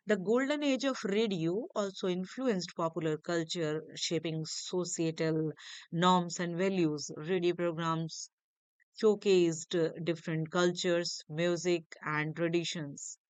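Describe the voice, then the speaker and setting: Woman lecturer, very slow delivery, halting read-aloud style, dry close sound. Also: lowish voice, clear, resonant tone, dry recording. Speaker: lecturer presenting a history lesson. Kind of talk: slide-by-slide educational lecture, read from notes.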